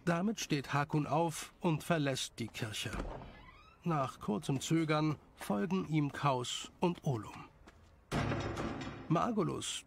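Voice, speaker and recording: A male storyteller reads scripted narration in a fairly high, creaky voice. There is faint noise behind him.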